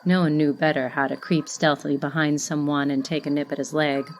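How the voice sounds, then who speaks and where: A woman reading literary fiction as a narrator, in an expressive, measured storytelling manner. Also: deep voice, a little hiss or hum.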